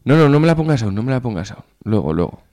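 A man's voice: mid-range voice, very animated, fast talker, dark tone, no echo. A man with an accent from Spain co-hosts a casual radio chat show in an informal, jokey way, swearing freely. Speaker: co-host of a music and chat show.

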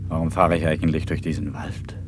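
An actor playing an arrogant, guilty personnel chief in a German radio play, curt and blustering. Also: male voice, rumbling low, level tone, fairly quick, over music. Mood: blustering, dismissive. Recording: noisy old radio play recording.

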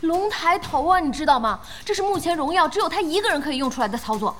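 An actress playing an excitable young female gaming fan in an audio drama, expressive and exclamatory. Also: extremely high pitch, quick pace. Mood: indignant. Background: background music.

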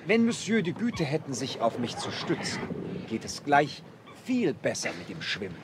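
An unflappable, deferential manservant in a 1970s adventure radio play. His very formal, courteous lines rise and fall expressively while he stays composed. The old recording is clearly noisy. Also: mid-range voice, wildly swooping pitch, normal speed. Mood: calm, deferential. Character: unflappable, excessively polite, devoted male manservant.

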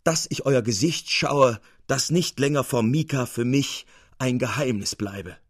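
A man's voice: mid-range voice, unhurried, slightly rough, dry recording, studio quality. A man voicing a grateful, ardent young man in a storybook, speaking fervently and emphatically. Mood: fervent gratitude.

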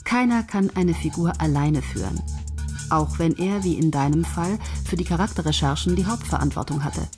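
Controlling female head writer, lowish pitch, authoritative, patronising, radio drama, faint music. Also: moderate pace. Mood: patronising, firm.

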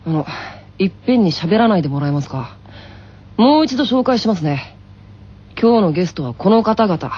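Husky young anime schoolboy host. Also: female voice, medium pitch, big pitch swings, normal speed, dark tone, dull audio. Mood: composed, matter-of-fact. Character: cool junior-high tennis boy hosting school broadcast. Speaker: broadcast host. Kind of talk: anime radio drama announcing.

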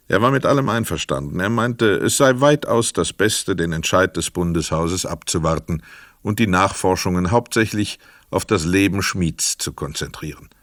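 A man narrating a literary crime radio play as a sober storyteller, measured, precise and dramatic. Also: sits low, fairly bright tone, no room sound, studio quality.

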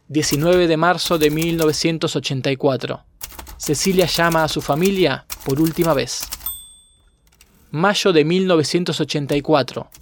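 A man's voice: on the high side, a little hiss or hum. A man doing scripted documentary narration and reading reports aloud.

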